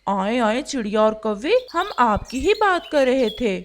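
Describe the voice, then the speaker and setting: A gentle father pigeon in a children's cartoon, voiced by a woman. The voice sits a little high. It is earnest and courteous, with the pleading tone of a devoted parent. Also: big pitch swings, unhurried, slight background noise. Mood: friendly.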